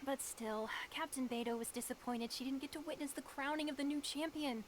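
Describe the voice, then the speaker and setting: Game casting: woman as female crew member, high and quick, politely informative, noisy backdrop. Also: level tone, natural voice. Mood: brisk, informative. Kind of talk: video game character dialogue.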